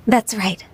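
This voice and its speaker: A woman voicing a gentle, earnest young female secretary in a video game, soft-spoken and sincere. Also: on the high side, heavily acted. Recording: game music behind.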